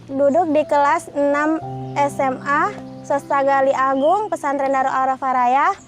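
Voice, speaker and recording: A young woman from Sumatra speaks in a high voice, pausing and halting between phrases. Soft music can be heard faintly behind her. She sounds like a student giving her answers on camera. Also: steady tone. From Sumatra, Indonesia. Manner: hesitant, halting. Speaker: graduating Quran memorization student.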